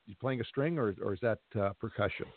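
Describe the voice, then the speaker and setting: A man hosting a radio interview, talking haltingly with many ums and restarts. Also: fairly low pitch. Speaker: radio host. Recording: tinny phone-like recording.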